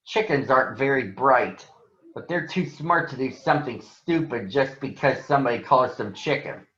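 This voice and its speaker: A man's voice: mid-range voice, animated, moderate pace, a bit bright, natural voice. A man voicing a folksy, wise bear farmer who gives emphatic lessons in a children's storybook. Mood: folksy advice. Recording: dull, echoey room.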